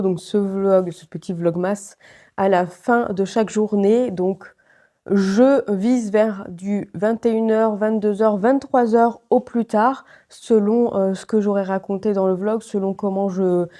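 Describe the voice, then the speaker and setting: A woman's voice: mid-range voice, steady tone, slow, mellow. A woman with a head cold and a stuffy, nasal voice, chatting casually to camera in a vlog, with many fillers and restarts. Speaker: vlogger.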